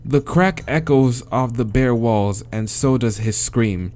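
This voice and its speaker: An American man reading a visual novel aloud as a let's-play host, dramatic in the narration and breaking into slangy, expressive asides. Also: medium pitch, slow, faint music behind. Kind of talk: dramatic read-aloud with casual reactions.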